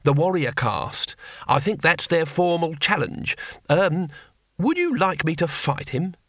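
A man's voice: a little high, sing-song, unhurried, a bit bright, dry recording, clean studio sound, pitched above the performer's own voice, exaggerated voice. A man voicing a mild, scholarly teacher in an audiobook, hesitant, polite and pedantic. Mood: anxious, polite. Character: mild, pedantic older male teacher among warriors.

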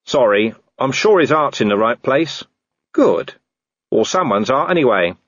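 A man's voice: medium pitch, animated, measured pace, a bit bright, no echo, dull audio, voice pushed up. A man voicing a weary middle-aged guard commander with a dry, sardonic manner. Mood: wry.

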